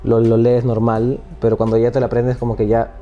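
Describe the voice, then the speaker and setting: A man's voice: mid-range voice, completely flat delivery, brisk, lo-fi sound, faint music behind. A man hosting a casual talk about poetry, speaking hesitantly with frequent restarts and tag questions. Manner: hesitant, many restarts and "¿no?" tags. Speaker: host.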